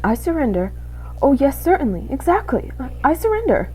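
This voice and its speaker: A woman's voice: high-pitched, very animated, a little fast, mellow, voice pushed up, poor audio. A woman voicing a sly, cruel male rat villain in a storybook, falsely courteous and drawing out the words in an insinuating way. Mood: oily, mock-friendly.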